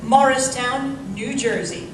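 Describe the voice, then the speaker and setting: A woman playing an upbeat 1930s radio announcer, with a deliberate, presentational sponsor-pitch delivery. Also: background noise. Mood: salesmanlike. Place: live stage performance in a museum. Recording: echoey hall, distant mic, music behind.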